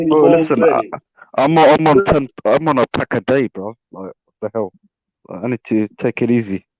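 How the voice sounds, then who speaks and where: A man's voice: mid-range voice, normal speed, a little mellow, no room sound. Laid-back young man, lo-fi call. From England. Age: 20s. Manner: laid-back, joking, lots of filler. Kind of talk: casual chat.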